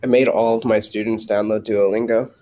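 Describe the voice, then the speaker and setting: A man's voice: fairly low pitch, little pitch movement, fairly quick, dark tone, slight background noise. A man who teaches, co-hosting a podcast and chatting casually on a voice call with brief, laid-back replies.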